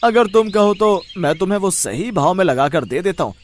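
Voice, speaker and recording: A man's voice: sits high, expressive, fairly quick, slight background noise. A man voicing a friendly, persuasive grocery shopkeeper in a moral-story cartoon, chummy and coaxing.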